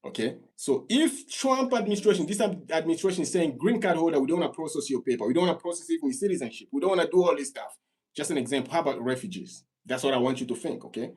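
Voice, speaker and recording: A man from Congo hosts a live stream and answers callers on immigration in a husky, mid-pitched voice. He talks earnestly and repeats his points. When he gets worked up, his voice climbs high and gets louder. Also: animated, quick pace.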